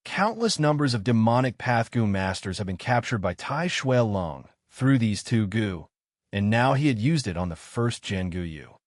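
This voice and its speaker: A man narrating a fantasy novel in a steady, dramatic manner. His voice sits low and is recorded dry and close. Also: normal speed, no room sound. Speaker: audiobook narrator.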